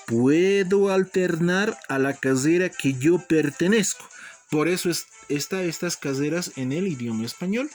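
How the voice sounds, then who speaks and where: Slow, deliberate language teacher. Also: male voice, on the high side. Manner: slow, deliberate repetition of phrases; addresses students as brothers and sisters. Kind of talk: online language lesson.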